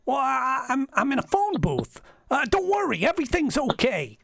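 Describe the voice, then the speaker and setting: A man playing a creepy, nervously eager hired killer in a radio thriller, stammering and giggly with a husky, creaky voice. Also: very high-pitched, wildly swooping pitch, moderate pace, fairly bright tone, no room sound, studio quality, full character voice. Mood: nervous, gleeful. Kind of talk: old-time radio thriller acting.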